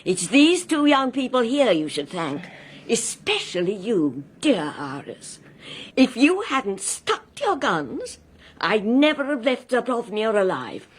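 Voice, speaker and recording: A woman's voice: on the high side, very animated, a little slow, husky, full character voice. An actress playing a grateful, twinkly older lady in a radio drama, with a British accent and a genteel, effusive, teasing manner. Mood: warm gratitude.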